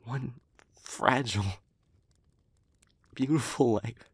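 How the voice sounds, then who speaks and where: Man acting as a husky, slow-spoken anguished demon. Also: medium pitch, dry recording, heavily acted. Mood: anguished, intense. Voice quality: breathy, husky, often dropping to a whisper. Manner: slow, halting, emotionally weighted delivery. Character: anguished demon pleading with a beloved human, tender. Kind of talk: intimate dramatic audio roleplay.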